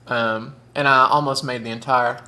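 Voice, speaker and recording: A man's voice: mid-range voice, steady tone, normal speed, sharp tone. A man, chatting casually and campily while eating on camera, sometimes with his mouth full. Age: 30s. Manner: chatty, camp, says "y'all" and "honey". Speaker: mukbang vlogger.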